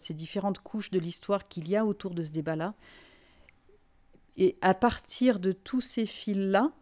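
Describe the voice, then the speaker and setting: A woman from Finland speaks in a low, mellow voice. She answers interview questions thoughtfully and often circles back over her own words while she works out a point. She sounds like a journalist in her forties talking through her work. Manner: reflective, frequent restarts and repeated words. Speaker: freelance journalist, guest.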